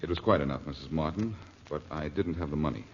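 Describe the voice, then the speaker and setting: An actor playing an earnest, resolute detective hero, polite and firm, in a 1940s radio drama. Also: male voice, extremely low pitch, fast. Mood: earnest, apologetic. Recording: dull, noisy 1940s radio recording.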